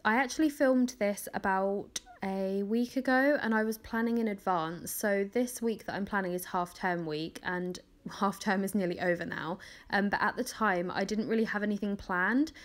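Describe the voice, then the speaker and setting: A young woman in her twenties talks casually through her planning session in a voice-over. Her voice is mid-pitched and a little crackly from the early hour. She rambles comfortably, with plenty of ums and small laughs. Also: moderate pace, hardly any pauses. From England. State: crackly morning voice. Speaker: planner vlogger.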